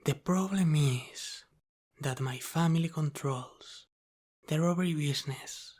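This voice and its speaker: A man playing a teasing, menacing Italian-American mafia boss in an intimate ASMR roleplay, speaking in a calm, controlling, halting way that often drops to a whisper. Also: fairly high pitch. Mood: cool, suspicious.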